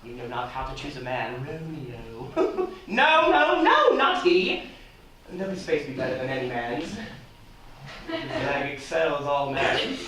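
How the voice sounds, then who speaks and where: Old stage nurse: bawdy, complaining, slow and hugely animated, distant in a reverberant hall. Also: female voice, deep voice, crowd noise, heavily acted. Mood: teasing, gushing. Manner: theatrical, teasing, drawn-out delivery with groans. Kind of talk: Shakespearean stage acting. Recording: very echoey hall, distant mic, audience noise.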